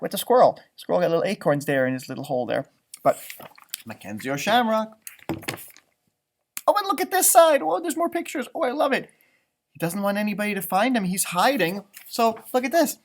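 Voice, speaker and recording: A man's voice: sits high, very animated, fairly quick. A man reading a picture book to children, with chatty, wondering asides as he talks to his young listeners. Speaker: storytime reader for children.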